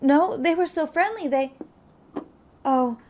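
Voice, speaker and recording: Female secretary and trauma survivor, higher voice, hesitant, apologetic, easily flustered, naturalistic audio drama. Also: animated, slow pace, darkish tone. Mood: alarmed, flustered.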